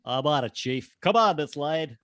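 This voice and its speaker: An eager young newspaper photographer, acted by a man at a table read. His fairly high voice swings up and down with lively, exclamatory energy, recorded close and dry. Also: moderate pace, no room sound. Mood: eager, startled. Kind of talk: amateur script table read.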